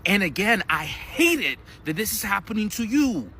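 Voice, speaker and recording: A man giving scolding, animated commentary in a reaction video. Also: high-pitched, moderate pace, slight background noise. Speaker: online commentator.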